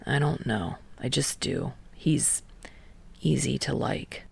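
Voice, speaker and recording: A sardonic young man played by a woman narrator in an audiobook story, muttering low with heavy creak. He is terse and halting, grudging every word in a breathy deadpan. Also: dry recording, in a whisper, exaggerated voice. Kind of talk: fan fiction audiobook dialogue.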